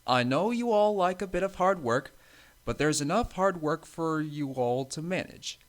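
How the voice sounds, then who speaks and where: A man's voice: a little high, animated, moderate pace, pitched above the performer's own voice, close to an everyday voice. Man playing a firm railway controller, raised voice. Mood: firm, announcing. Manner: formal, authoritative. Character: thin railway controller, firm but kindly male boss.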